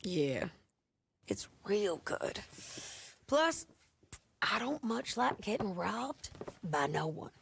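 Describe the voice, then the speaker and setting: A woman's voice: medium pitch, very animated, takes it slowly, gravelly, long pauses, a little hiss or hum, full character voice. A woman playing a hardened frontier bounty hunter in a video game, with a dry, clipped, matter-of-fact way of talking. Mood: gruff. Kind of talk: video game cutscene acting. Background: game music and horse hooves.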